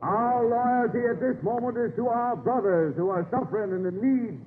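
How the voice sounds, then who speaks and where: A man's voice: very high-pitched, normal speed, long reverb tail, phone-quality sound. An actor playing a dignified Virginia statesman and father in a 1930s radio drama, speaking in a formal, oratorical way. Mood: formal, urgent. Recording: thin, noisy 1930s radio recording.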